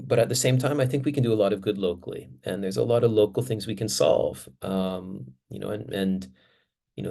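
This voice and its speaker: A man speaking in a relaxed, rambling way, padding his thoughts with fillers. His voice sits a little low. He sounds like he is talking off the cuff to close out a video. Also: gravelly. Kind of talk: casual closing remarks.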